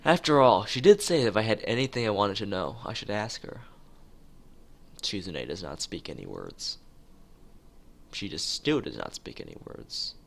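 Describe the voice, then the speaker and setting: A man's voice: lowish voice, sing-song, moderate pace, creaky, pauses often. A man streaming a visual novel read-aloud, narrating with casual asides and sometimes stumbling over his words. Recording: music behind the voice.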